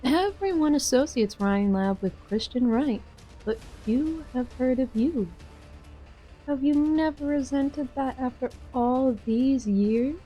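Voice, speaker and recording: A woman's voice: high-pitched, slow, muffled recording, soft background music. A woman playing a cool, taunting, cryptic mercenary in a fan-dubbed game drama, composed and provocative. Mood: taunting, probing.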